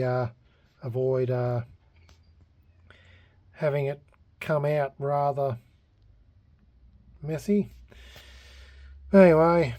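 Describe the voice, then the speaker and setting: A man's voice: mid-range voice, mellow, dry recording. A man with an Australian accent talking casually and unscripted in a hobby restoration vlog, hesitant, laid-back and self-deprecating. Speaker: vlogger.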